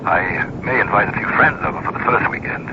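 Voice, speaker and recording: Genial male society gentleman played by a man, polite, animated melody, noisy lo-fi radio drama. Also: fairly low pitch, brisk, lightly acted. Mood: polite, breezy. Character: genial, well-bred gentleman, old friend of a painter. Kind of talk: 1980s radio drama acting. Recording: noisy muffled old radio broadcast.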